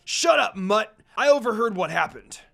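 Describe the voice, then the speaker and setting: A man voicing a hot-headed young male wolf demon who shouts taunts. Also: high-pitched, big pitch swings, moderate pace, creaky, pitched above the performer's own voice, exaggerated voice. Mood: angry shouting.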